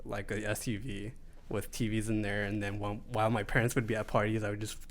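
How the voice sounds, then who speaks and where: A man's voice: lowish voice, quick pace. A man, a podcast guest from Southern California, chatting casually and telling stories with lots of fillers. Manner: relaxed storytelling with many fillers. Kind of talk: casual chat.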